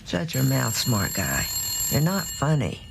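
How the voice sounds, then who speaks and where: A gruff older sheriff in a movie scene, curt and scolding. Her pitch jumps around widely, and loud noise sits behind her. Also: huge pitch swings, measured pace, heavily acted. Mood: angry, commanding. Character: gruff older female small-town Southern sheriff. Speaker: film actor. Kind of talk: film dialogue. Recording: very noisy film scene audio.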